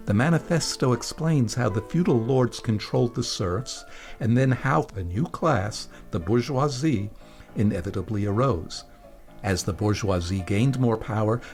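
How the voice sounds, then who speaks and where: A man's voice: fairly low pitch, a little mellow. Man narrating a script over music. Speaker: radio presenter and reader. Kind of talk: scripted narration introducing a dramatic reading.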